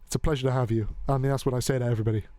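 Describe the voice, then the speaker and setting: A man's voice: mid-range voice, fairly even intonation, racing, warm tone, no room sound. Rambling, joking male show host. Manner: rambling, joking, loose, swears casually. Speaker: podcast host. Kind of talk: casual chat.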